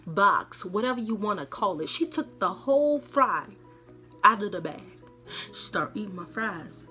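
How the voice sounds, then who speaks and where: A woman podcast host telling a personal story in an animated, colloquial way, dramatically acting out the dialogue. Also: mid-range voice.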